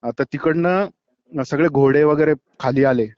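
Casting: male, Marathi accent, halting everyday eyewitness speaker, dull lo-fi call audio, dry sound. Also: a little high, clean tone, no echo. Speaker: tourist giving an eyewitness account. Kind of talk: phone interview, conversational account. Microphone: phone call.

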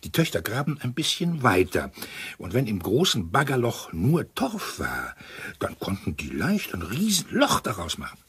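An actor playing a military man turned amateur sleuth in a radio crime play, very husky, reasoning emphatically and in order. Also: medium pitch, wildly swooping pitch, moderate pace, a bit bright, heavily acted. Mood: keen, reasoning.

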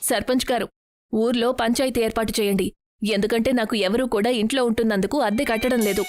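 A woman's voice: fairly high pitch, steady tone, fairly quick, darkish tone, no echo, clean studio sound, natural voice. A woman voicing a greedy, scheming landlady and shopkeeper in a moral story, with a demanding, indignant manner.

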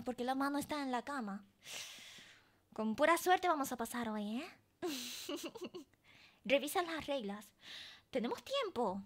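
A woman's voice: high-pitched, a bit bright. A woman streamer chatting casually and playfully with her viewers during a live game event. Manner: chatty and playful, talking to chat.

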